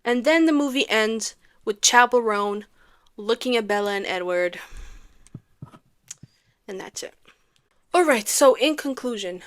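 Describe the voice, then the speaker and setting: A young woman reviewing a movie in a casual comedic vlog, animated and sarcastic, ranting with rhetorical questions. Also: a little high, unhurried, pauses often.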